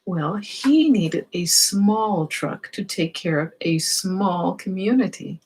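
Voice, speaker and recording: A woman's voice: on the low side, slow pace, a little mellow, breathy edge, rough recording. A woman reading a children's story aloud, expressively, with explanatory asides and questions for young listeners.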